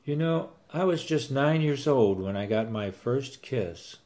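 A man's voice: mid-range voice, expressive, unhurried, music in the background. A man in his sixties narrating a first-person story and acting out its dialogue as a grandfather.

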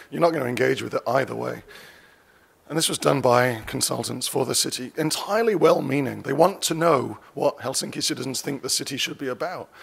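A man's voice: medium pitch, vocal fry, breathy edge. A man in his forties giving a conference keynote from a lectern, conversational and wry, often correcting himself mid-sentence. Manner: conversational, self-correcting, dryly wry asides. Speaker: keynote speaker. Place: conference stage. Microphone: lectern mic.

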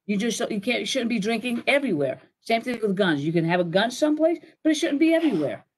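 A woman's voice: fairly high pitch, animated, quick pace, darkish tone, slightly rough. A middle-aged woman on a town hall panel explaining safety policy over a video call from a home office, rambling with restarts and fillers. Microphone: video call webcam.

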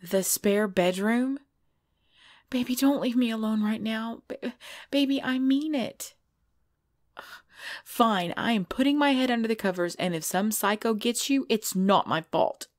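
A woman's voice: on the high side, unhurried, no echo, clean studio sound, lightly acted. A woman playing a cute, easily frightened girlfriend at bedtime in an intimate ASMR-style audio roleplay. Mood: pleading. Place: dry close recording indoors.

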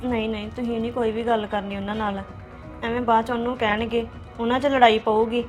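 A woman's voice: a little high, flat delivery, fast, soft background music, lightly acted. An actress playing a young wife who complains tearfully that she is blamed for nothing. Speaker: young wife in a family quarrel. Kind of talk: family drama acting.

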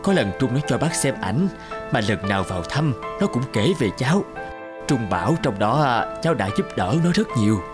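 A man's voice: medium pitch, sing-song, normal speed, close to an everyday voice. An actor playing a friendly, fatherly older bus driver in a cartoon drama. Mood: warm, reassuring. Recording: music under the dialogue.

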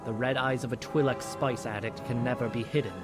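A man voicing a solemn priestess of an alien order in a comic dub, formal and measured. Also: mid-range voice, steady tone, lightly acted. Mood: solemn, ominous. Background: music.

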